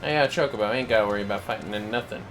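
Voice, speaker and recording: A man's voice: lowish voice, rapid-fire, fairly bright tone, soft background music. A man streaming a video game, chatting casually and muttering to himself as he plays. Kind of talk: casual livestream chat while gaming.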